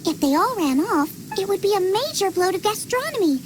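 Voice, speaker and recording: An actress playing an excitable, cheerful young female chef in a video game, with a bubbly, eager and expressive manner. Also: very high-pitched, a little fast, heavy background noise. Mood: enthusiastic. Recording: game music behind.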